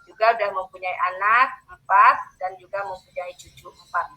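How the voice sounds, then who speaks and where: Female principal, fifties, bright sharp tone, polite and hesitant, informal speech over a video call. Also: mid-range voice, a little fast, low-quality recording. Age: 50s. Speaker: school principal and training mentor. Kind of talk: informal self-introduction.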